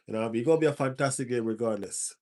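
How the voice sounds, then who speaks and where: A man's voice: mid-range voice, racing, warm tone. Stuffy-nosed male streamer, laid-back chat, repeats lines for emphasis, mid-range voice. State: stuffy nose. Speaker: football fan streamer. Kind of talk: casual live stream chat.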